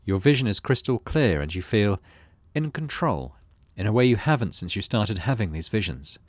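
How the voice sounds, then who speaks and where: A man's voice: on the low side, moderate pace, no room sound. A man hosting a gaming channel, reading game narration aloud expressively and adding casual commentary. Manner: expressive storyteller reading with asides.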